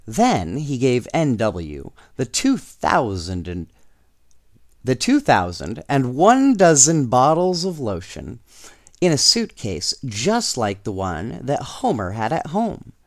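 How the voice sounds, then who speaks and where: A man reading a children's storybook aloud in an expressive, unhurried way, with casual asides. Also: mid-range voice, no room sound, clean studio sound. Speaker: storybook reader.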